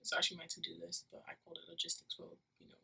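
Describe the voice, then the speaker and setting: A woman's voice: lowish voice, completely flat delivery, racing, creaky, sharp tone, husky. A young woman from Nigeria, a university student, rambling through a casual vlog with lots of fillers, recorded on a phone taped to the wall of her room. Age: young adult. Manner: rambling, many fillers and self-corrections.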